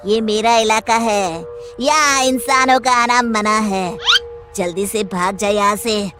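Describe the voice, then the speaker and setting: A woman plays a menacing storybook witch with a high, slow, threatening voice. She commands and cackles like the haunter of a tree, over faint music. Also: very animated, heavily acted.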